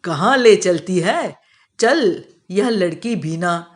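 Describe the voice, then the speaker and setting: Woman doing a skeptical, lowered-voice father. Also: animated, takes it slowly. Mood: grudging. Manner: doubting, scoffing, questioning. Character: skeptical middle-aged father, teasing, gruffly affectionate.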